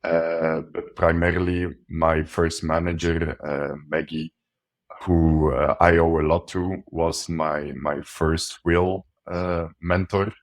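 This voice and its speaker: A man's voice: low voice, little pitch movement, slow. A man from coastal Belgium, talking earnestly and reflectively as an interview guest about his sales career. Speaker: guest, sales account executive. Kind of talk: casual interview talk.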